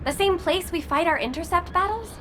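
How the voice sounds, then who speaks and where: A woman's voice: extremely high pitch, fairly quick, background noise. An actress playing an eager, caring young female sidekick in a video game. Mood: curious. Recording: music behind.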